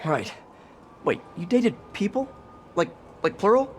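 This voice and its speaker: A man's voice: high voice, sing-song, measured pace, a little hiss or hum. An actor playing a caring, wry young male superhero, conversational and earnest, in a video game cutscene.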